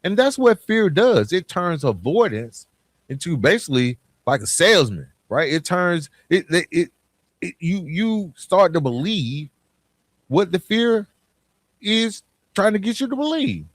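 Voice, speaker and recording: A man's voice: high voice, very animated, unhurried, no room sound, clean studio sound. A man hosting a podcast, giving an emphatic, colloquial motivational monologue with storytelling and repeated key phrases. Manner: colloquial, informal address. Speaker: podcast host.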